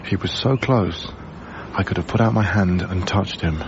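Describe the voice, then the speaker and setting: A dull radio broadcast recording holds a young British man in an intimate radio play. He is a reflective, heartbroken lodger who speaks gently and with measured care. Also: deep voice, background noise. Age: young adult. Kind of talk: BBC radio drama acting, intimate first-person narration.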